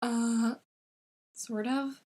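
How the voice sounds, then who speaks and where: A woman voicing an awkward, unsure young male student in a story, with a hesitant, halting, casual delivery. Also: fairly high pitch, fairly even intonation, dark tone. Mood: uneasy, hesitant.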